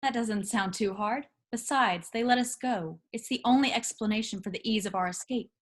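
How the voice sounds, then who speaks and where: Brief: resolute young princess played by a woman, fairly high voice, sharp-tongued, earnest, sci-fi table read. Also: moderate pace, natural voice. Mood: firm, serious. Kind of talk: virtual script table read.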